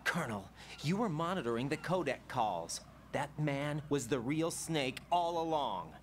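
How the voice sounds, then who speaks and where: Brief: a tense, questioning young game soldier, animated delivery. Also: male voice, fairly high pitch, unhurried, a little hiss or hum.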